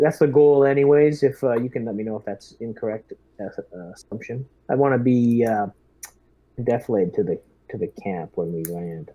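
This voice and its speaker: A man playing a tabletop roleplaying game, talking through tactics casually in a measured, halting way. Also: medium pitch. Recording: dull lo-fi voice-chat audio.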